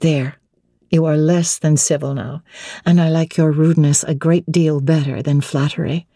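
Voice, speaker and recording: A woman's voice: sits low, fairly even intonation, normal speed, dark tone, no echo, studio quality. A woman playing a spirited, frank young bride-to-be with a playful, challenging manner in a dramatic novel reading. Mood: forthright.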